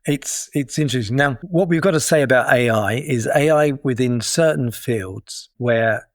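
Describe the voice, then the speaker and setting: A man's voice: mid-range voice, normal speed, no room sound, studio quality. A middle-aged man with a British accent, a guest explaining his ideas in a thoughtful way, with small restarts. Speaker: guest, digital detox coach. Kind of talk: casual interview talk.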